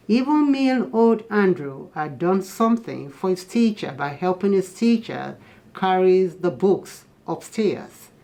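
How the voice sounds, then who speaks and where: A woman's voice: fairly low pitch, a little mellow. A woman reading a children's picture book aloud in a teacherly manner, explaining things to young listeners. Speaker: guest storybook reader.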